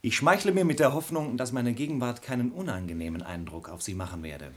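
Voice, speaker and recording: Gallant gentleman visitor, played by a man, male, polished and formal, smooth flatterer, radio comedy. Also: medium pitch, brisk, close to an everyday voice. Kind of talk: comic radio drama acting.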